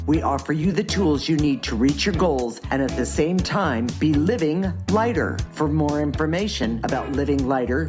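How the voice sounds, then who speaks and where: A woman reading a scripted promo for a radio show. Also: sits low. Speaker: ad announcer. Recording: voice over music bed.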